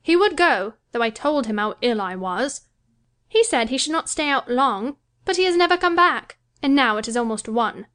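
High-voiced young wife, self-pitying and self-important, forever complaining, period novel dramatisation female actor performing. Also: animated, moderate pace, fairly bright tone. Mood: aggrieved, complaining. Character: self-pitying, querulous young married gentlewoman, fancying herself ill. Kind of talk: dramatised classic novel reading.

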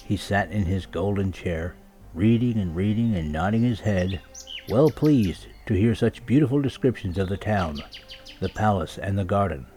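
A man narrating a children's story with expressive, scripted storytelling delivery. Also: lowish voice, normal speed. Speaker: storybook reader. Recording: music laid behind the voice. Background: music and sound effects.

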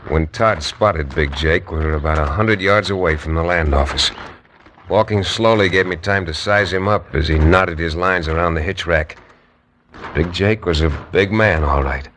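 An actor playing a steady, dry-witted frontier lawyer who narrates a 1950s radio drama in a wry, measured way. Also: male voice, low-pitched. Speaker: narrator and lead. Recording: noisy old radio recording.

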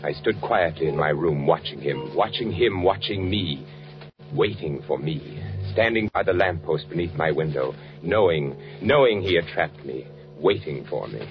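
An actor playing an agitated, trapped undercover architect, narrating intensely in a 1940s radio thriller. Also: male voice, extremely low pitch, lively intonation, normal speed, over music. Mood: tense, brooding. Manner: brooding, intense first-person confiding with dramatic repetition. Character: agitated, trapped male architect working undercover in Nazi Germany. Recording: muffled vintage 1940s radio recording.

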